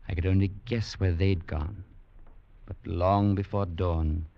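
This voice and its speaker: An actor playing an earnest young gentleman, narrating in a measured storytelling manner in an old radio drama. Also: low voice, fairly even intonation, gravelly. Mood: grave. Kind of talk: 1940s radio acting. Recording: lo-fi radio recording with music.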